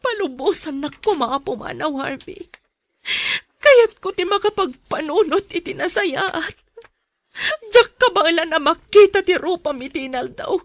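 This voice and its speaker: A woman's voice: high-pitched, no echo, clean studio sound. A woman playing a betrayed, hurt young wife in an Ilocano audio drama. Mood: crying, furious.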